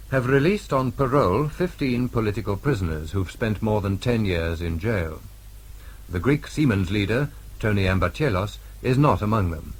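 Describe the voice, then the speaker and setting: A British man reading a formal radio news bulletin in a measured, old-fashioned mid-century broadcast style. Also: fairly low pitch, husky. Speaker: newsreader.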